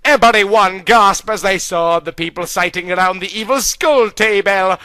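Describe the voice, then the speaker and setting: A man reading a clumsy fan story aloud in a deadpan, mock-earnest comic style, close to the mic. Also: sits high, normal speed, clear, resonant tone, dry recording. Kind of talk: comedic dramatic reading.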